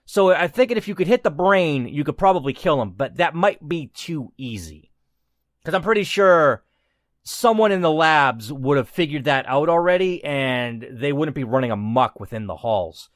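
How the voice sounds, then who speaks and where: A man's voice: on the high side, animated, moderate pace, no echo, clean studio sound. A man hosting a game playthrough, moving between dramatic read-aloud storytelling and casual commentary. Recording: clear background noise.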